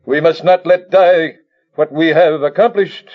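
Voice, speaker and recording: A man's voice: fairly high pitch, fairly even intonation, unhurried, close to an everyday voice. A man playing a grave alien elder, a scientist leading a doomed planet, declaiming theatrically in an old radio drama. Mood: solemn. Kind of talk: old-time radio sci-fi drama acting. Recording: dull lo-fi old radio recording.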